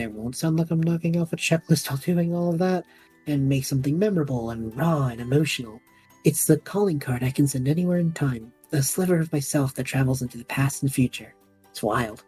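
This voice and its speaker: A woman playing an anxious, sarcastic young male magic student in an audio drama, rambling, flustered and interrupting himself, with a husky voice. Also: low-pitched, mellow. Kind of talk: audio drama acting, monologue and banter.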